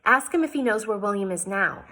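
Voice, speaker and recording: A woman's voice: mid-range voice, lively intonation, brisk, sharp tone. A woman reading aloud and voicing an indignant, pleading young lady from Philadelphia. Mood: urgent. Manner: emphatic, pleading, raised voice.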